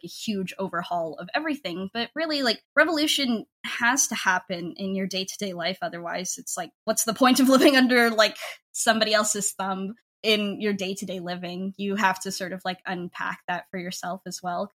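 A woman in her twenties speaks as a guest in a relaxed interview. Her voice sits at a middle pitch. She talks informally and chattily, dropping "like" into her sentences often. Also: brisk. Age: 20s. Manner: informal, chatty, heavy use of "like" as filler. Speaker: podcast creator and writer, interview guest. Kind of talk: casual conversational interview.

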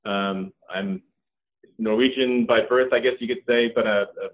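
A middle-aged man, a company co-founder, talking casually in an interview with a relaxed manner and many ums and restarts. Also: medium pitch, moderate pace. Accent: Californian. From Norway. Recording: tinny phone-like line.

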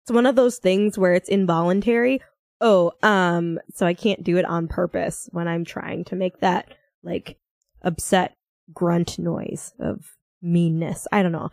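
A woman's voice: lowish voice, steady tone, measured pace, vocal fry, darkish tone, no echo, studio quality. Giggly American woman chatting casually. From Minnesota, United States. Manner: giggly, playful, rambling. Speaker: podcast co-host. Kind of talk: casual chat.

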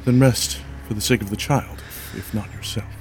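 A man's voice: on the low side, moderate pace, a little hiss or hum, close to an everyday voice. An actor playing a proud, persuasive king in a fantasy horror audio drama, speaking in a commanding, coaxing, theatrical way. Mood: solicitous. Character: proud, persuasive king, husband to an expectant wife.

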